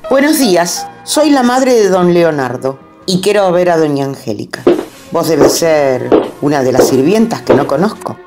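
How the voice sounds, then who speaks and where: Una mujer haciendo de abuela aristócrata altanera y despectiva. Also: female voice, lively intonation, moderate pace, darkish tone. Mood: haughty. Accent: Rioplatense Spanish. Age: older. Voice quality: deep, disdainful. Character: haughty aristocratic old grandmother, scornful and snobbish. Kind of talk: online radio drama acting. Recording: faint music underneath.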